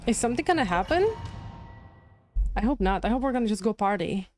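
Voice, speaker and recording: A woman's voice: fairly high pitch, very animated, brisk, darkish tone, slight background noise. A woman livestreaming a game, giving casual, chatty commentary as she thinks aloud. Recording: game music behind.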